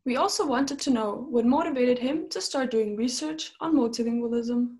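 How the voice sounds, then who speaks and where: A woman's voice: fairly high pitch, normal speed, darkish tone. A young woman hosting an interview series, delivering a scripted introduction to camera. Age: young adult. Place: small plain room indoors.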